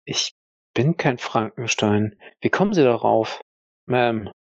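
A man playing a defiant, rattled war veteran accused of murder, halting and self-justifying, in an audio role-play on a dull microphone. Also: mid-range voice, expressive, no room sound, voice pushed down.

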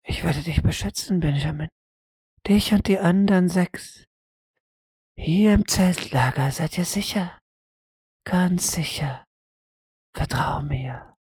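A woman voicing a seductive, protective dream figure, soothing and possessive, slow and breathy with whispers, in an audio roleplay. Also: lowish voice, darkish tone, no room sound, studio quality, full character voice.

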